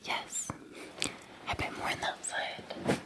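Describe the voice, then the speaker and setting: A Scottish woman playing a gentle, attentive Victorian housemaid in an ASMR roleplay, in a soft, breathy, soothing whisper with polite deference. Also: slow, natural voice. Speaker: ASMR performer.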